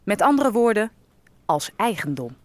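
A woman's voice: mid-range voice, sing-song, moderate pace, close to an everyday voice. An actress playing a dignified freed captive who narrates her people's story in a historical audio drama. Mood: dignified, matter-of-fact.

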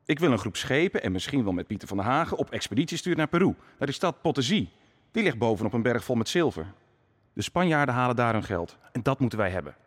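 An actor playing a young, confident prince and army commander, assertive and dismissive, in a radio drama. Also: male voice, medium pitch, big pitch swings, quick pace. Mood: impatient, assertive. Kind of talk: Dutch radio drama acting.

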